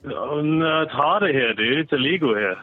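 A man's voice: fairly high pitch, expressive, moderate pace, slightly rough, no echo, faint music behind. A man in his thirties who grew up in Singapore, calling in to a podcast, laid-back and slangy, laughing easily. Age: 30s. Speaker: caller. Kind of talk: casual chat by phone. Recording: tinny dry phone line.

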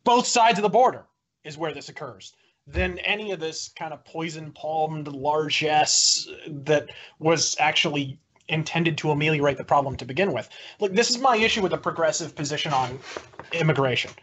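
Higher-pitched man arguing in a debate. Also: moderate pace, fairly bright tone, a little hiss or hum. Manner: wordy, florid, opinionated. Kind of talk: political debate argument. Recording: dull lo-fi audio.

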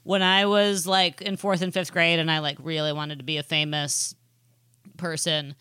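Bright, dry-sounding woman in casual chat. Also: low-pitched, little pitch movement, normal speed, clean tone, dry recording. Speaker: podcast co-host.

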